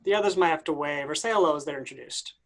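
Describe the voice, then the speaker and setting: A woman's voice: low-pitched, fast talker. A woman giving scripted narration, reading out the stage directions of a play.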